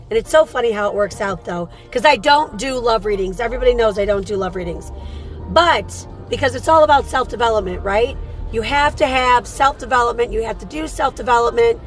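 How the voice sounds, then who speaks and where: Hoarse, chatty woman hosting a live morning chat. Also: a little high. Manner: chatty, affectionate with viewers, calls them sweetheart. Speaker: host and card reader. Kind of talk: casual live chat with viewers.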